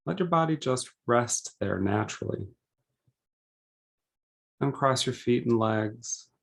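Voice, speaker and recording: A man leading a guided meditation, speaking gently and deliberately in short phrases with pauses between them. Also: medium pitch, gravelly.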